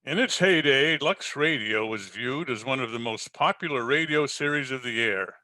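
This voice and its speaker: A man's voice: mid-range voice, normal speed, pushed and bright, no echo, faint music behind. A man delivering scripted narration as an old-time radio host, formal and theatrical as he presents a play.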